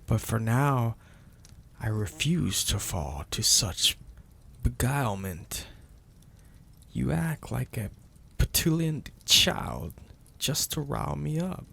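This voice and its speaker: A man playing a possessive, domineering mafia boss in an intimate ASMR roleplay, speaking deliberately and with teasing menace in a gravelly, husky, breathy voice. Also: medium pitch, expressive, a bit bright, heavily acted. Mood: brooding, stern. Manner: slow, deliberate, menacing, florid wording.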